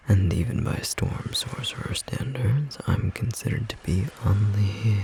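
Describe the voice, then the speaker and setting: A man playing a gentle, romantic storm sorcerer in intimate ASMR roleplay, speaking tenderly in a soft, breathy, slightly rough voice. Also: fairly low pitch. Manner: soft, slow, tender murmuring with pauses.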